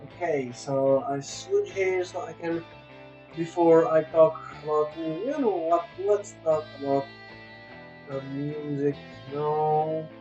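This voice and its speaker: A high-pitched male voice in a roomy, echoing space with music behind it. He is an English speaker, reviewing a game off the cuff. His delivery halts and circles back as he hunts for the next word. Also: dull audio. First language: a language other than English. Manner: halting and repetitive, searching for words. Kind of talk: casual unscripted review commentary. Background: soft guitar game music.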